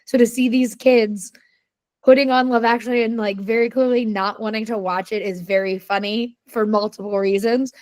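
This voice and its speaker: A woman's voice: a little high, fairly even intonation, moderate pace, a little mellow, no echo. A woman interviewing a film director, asking chatty and enthusiastic questions in a casual way.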